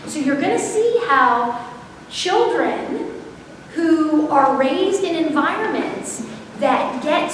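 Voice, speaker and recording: A woman in her forties giving a parenting seminar to a live audience, talking loudly and energetically without a microphone. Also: high voice, a little hiss or hum. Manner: loud, energetic, unmiked voice projecting to a room. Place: school auditorium or large hall. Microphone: camera far off, speaker not miked. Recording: echoey hall recorded from far off.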